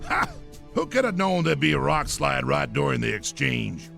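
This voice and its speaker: An actor playing a blustering, bossy old army colonel with a gravelly voice. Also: male voice, fairly high pitch, sing-song, moderate pace, pushed and bright, faint music behind, exaggerated voice. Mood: gruff. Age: older. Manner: gruff, boastful and commanding.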